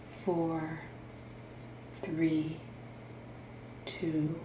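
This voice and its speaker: A woman guiding a meditation in a soothing, gentle instructional manner, leaving long pauses between phrases. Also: low voice, monotone. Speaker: meditation guide and therapist. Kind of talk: guided meditation narration. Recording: roomy echo, faint background noise.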